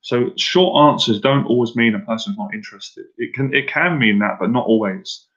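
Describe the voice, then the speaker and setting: A man's voice: medium pitch, normal speed, fairly bright tone, muffled recording. Casual live-stream dating coach, a man. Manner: casual and direct, repeats himself, asks viewers to answer in the chat.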